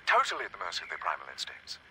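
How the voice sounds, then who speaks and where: A man playing a grave, clinical scientist giving a halting, formal interview over the radio in a video game. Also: low voice, huge pitch swings, pushed and bright, heavily acted. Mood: grave, uneasy. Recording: noisy small transistor radio sound.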